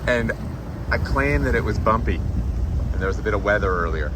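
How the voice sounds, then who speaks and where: A man with a fairly low voice chats casually to the camera from his sailboat, rambling a little as he goes. Heavy wind and motor noise roar behind him outdoors. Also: moderate pace. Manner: relaxed, rambling, with fillers. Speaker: solo sailor vlogger. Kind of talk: casual talk to camera on a boat.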